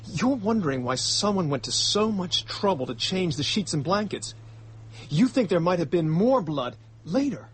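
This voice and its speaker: A man's voice: high-pitched, big pitch swings, normal speed, husky, full character voice. An actor playing an earnest, worried young man caught up in a mystery in a radio drama, speaking with urgency. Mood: suspicious, reasoning.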